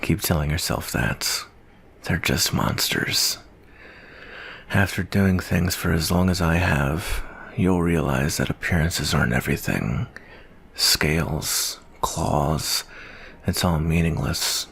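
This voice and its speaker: A man playing a jaded, guilt-ridden monster-hunting soldier in an ASMR roleplay monologue, speaking in a slow, brooding, weary way with a gravelly, husky voice. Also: very deep voice, fairly bright tone, heavily acted. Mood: weary, warning.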